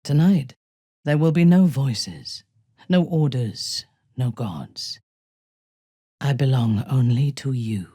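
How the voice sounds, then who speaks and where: Ruthless female drow villain in a fantasy game: slow, breathy delivery, cold seductive menace, haughty command. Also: extremely low pitch, mellow, no room sound, clean studio sound, heavily acted. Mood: intimate, seductive. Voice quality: husky, breathy. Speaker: video game voice actor. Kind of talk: dramatic video game character dialogue.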